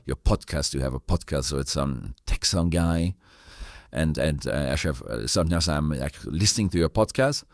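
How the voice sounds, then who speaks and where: A man hosting a casual interview, speaking haltingly and often repeating and rephrasing his words. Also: extremely low pitch, expressive, creaky, dry recording, clean studio sound. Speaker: interviewer, podcast host.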